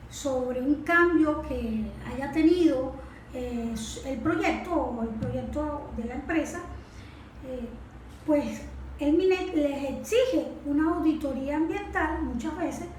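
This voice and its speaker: A woman's voice: high-pitched, a little mellow. A Venezuelan woman explaining things as an expert guest in an interview, often posing questions and answering them herself. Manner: didactic, asks and answers own questions. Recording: echoey room with faint noise.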